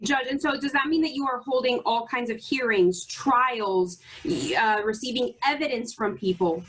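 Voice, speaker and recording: A woman's voice: a little high, normal speed, a bit bright, breathy edge, rough recording. Polite, encouraging woman interviewer. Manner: polite, encouraging, repeats praise. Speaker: interviewer, bar association board member. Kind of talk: scripted interview questions over a video call. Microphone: video call.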